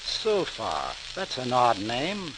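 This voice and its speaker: Proud middle-aged dad, curious, very lively intonation, 1940s radio drama, noisy recording. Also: male voice, medium pitch, takes it slowly.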